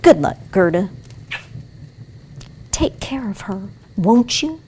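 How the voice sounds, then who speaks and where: Audio play: woman as commanding, threatening robber girl, secretly soft-hearted, slow measured delivery. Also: medium pitch, very animated, husky, full character voice. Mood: grudgingly kind. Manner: brusque, commanding.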